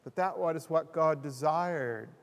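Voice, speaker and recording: An older man, a pastor in his sixties or beyond, delivering a sermon in a measured, reflective, reverent way at a church lectern microphone. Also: a little high. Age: 60s or older. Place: indoor church, at a pulpit. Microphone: gooseneck lectern microphone. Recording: long echoing hall reverb.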